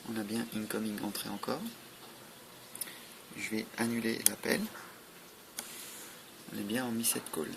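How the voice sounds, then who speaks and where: A man walks through a product in a matter-of-fact way. His voice is low and gravelly, with very little melody. The recording is noisy, with clicks. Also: level tone, measured pace, long pauses. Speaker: product demonstrator. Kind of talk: informal hands-on product demonstration.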